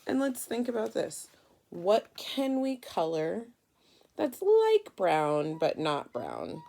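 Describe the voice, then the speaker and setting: A woman's voice: medium pitch, wildly swooping pitch, slow pace, gravelly, warm tone. A woman teaching through a storybook read-aloud, guiding children and prompting them with questions.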